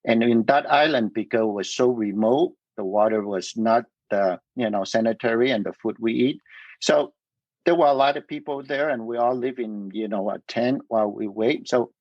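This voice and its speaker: Man from Vietnam, Cantonese native, middle-aged, halting speech, fillers, dry dull sound. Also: mid-range voice, no echo. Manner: halting, many fillers and restarts, light laughs. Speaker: guest. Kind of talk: casual interview storytelling.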